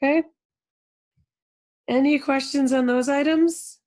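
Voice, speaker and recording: A woman's voice: high-pitched, fairly even intonation, slow pace, a little mellow, dry recording. An American woman leading a conference call as a program coordinator, giving an informal, encouraging briefing and often checking in with the group. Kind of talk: informal instructional briefing to coaches.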